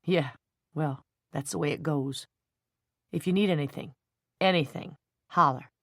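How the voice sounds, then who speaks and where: A woman voicing a troubled, tender young man who speaks in a quiet, weary, subdued way. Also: sits low, measured pace, dry recording, clean studio sound.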